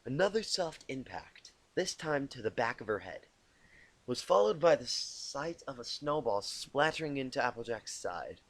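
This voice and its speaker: A man narrating a storybook tale as an amateur reader, halting and often repeating words he stumbles on. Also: mid-range voice, big pitch swings, dry recording. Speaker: amateur fan fiction reader. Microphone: inexpensive home microphone.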